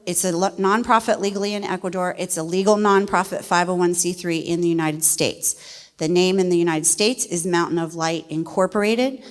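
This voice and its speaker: A middle-aged woman from West Virginia, a missionary speaking to a church congregation in a folksy, animated way. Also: on the low side, normal speed. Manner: folksy and animated, laughs easily. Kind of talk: church testimony talk. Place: church sanctuary. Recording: long reverb of a church hall.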